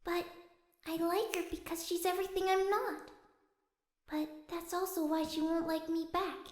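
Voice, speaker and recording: A woman's voice: level tone, moderate pace, no echo, studio quality. A woman voicing a timid, sensitive young female pegasus pony for cartoon fan fiction, speaking hesitantly in a delicate voice. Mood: sad, self-doubting. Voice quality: soft, high, delicate voice. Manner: hesitant, stammering.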